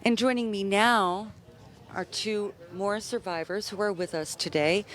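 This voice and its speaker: A woman hosting live coverage of an event, describing the scene and interviewing guests with empathetic reactions. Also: medium pitch. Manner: empathetic, reacting with soft exclamations. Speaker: live broadcast host.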